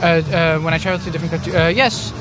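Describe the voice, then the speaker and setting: A man's voice: on the high side, rapid-fire, music in the background, a crowd in the background. A man from Canada answering a street interview at a food festival, hesitant and full of ums. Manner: hesitant, many ums and uhs. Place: outdoor food festival in a city square.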